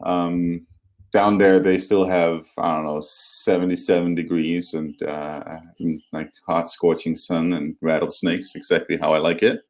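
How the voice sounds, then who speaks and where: Deep, slow man explaining. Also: flat delivery. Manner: halting, hesitant, thoughtful. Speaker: academic and podcast co-host. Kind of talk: informal explanatory podcast talk.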